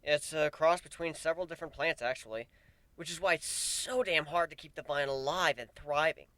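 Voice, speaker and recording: A man's voice: on the high side, brisk, sharp tone, no echo, voice pushed up. A man voicing a weary, wry male pegasus who grows plants, in a dramatic fan fiction reading. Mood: earnest explaining.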